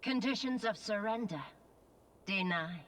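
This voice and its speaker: A woman's voice: medium pitch, unhurried, lightly acted. A woman voicing a cruel, mocking imperial commander in a fantasy game, haughty and menacing. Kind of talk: scripted fantasy game dialogue. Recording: distant voice under loud game music. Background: orchestral game music.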